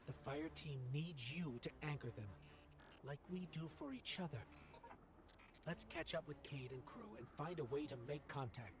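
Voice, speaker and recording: Man voicing an earnest, higher-pitched little robot companion. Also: close to an everyday voice. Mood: earnest, hopeful. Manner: earnest, measured, encouraging. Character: small earnest male robot companion, loyal and hopeful. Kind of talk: video game voice acting.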